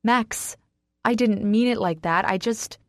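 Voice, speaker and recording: A woman's voice: medium pitch, fairly even intonation, a little slow, darkish tone, dry recording, studio quality, close to an everyday voice. A woman narrator playing a distraught, defensive wife in an audiobook, pleading and emotionally raw.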